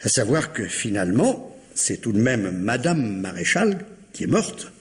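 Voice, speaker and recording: Radio mystery role: an exasperated, ironic commissioner, medium pitch with big melodic swings. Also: male voice, sing-song, unhurried. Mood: sardonic. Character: impatient, sardonic male police commissioner questioning a witness. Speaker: radio actor. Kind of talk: 1950s French radio mystery acting.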